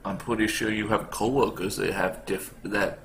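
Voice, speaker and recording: A man's voice: fairly low pitch, fairly even intonation, moderate pace, fairly bright tone. A man hosting a podcast in a casual, conversational style. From Canada. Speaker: podcast host. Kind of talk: casual chat. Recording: slight background noise.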